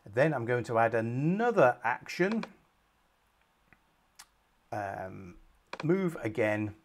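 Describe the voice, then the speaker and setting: A middle-aged man presenting a screen-recorded software tutorial from a small home office, calmly walking through each step with frequent ums. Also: medium pitch, takes it slowly.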